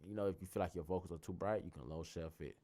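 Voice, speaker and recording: A man with a bassy voice talking loosely through a casual tutorial, often restarting his sentences. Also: racing, dark tone. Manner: loose, rambling explanations with frequent restarts. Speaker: tutorial host. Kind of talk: casual screen-recorded tutorial.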